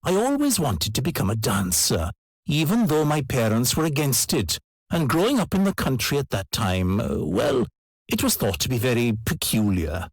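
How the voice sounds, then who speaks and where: An actor playing an older, proud Welsh ballet dancer reflecting on his career in a measured monologue for a listening exam. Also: mid-range voice, sing-song, dry recording. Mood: proud, reminiscing. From Wales.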